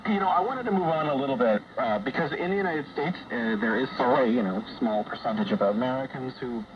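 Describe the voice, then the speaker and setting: Dull shortwave radio sound, clear background noise, male host, measured explaining, conversational. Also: medium pitch, animated. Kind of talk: conversational talk radio discussion.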